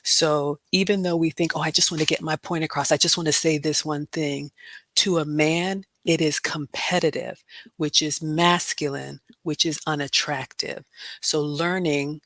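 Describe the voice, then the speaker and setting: A woman's voice: low-pitched, steady tone, normal speed, a bit bright, no room sound. Middle-aged woman host, dry close sound. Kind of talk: personal reflection to a group.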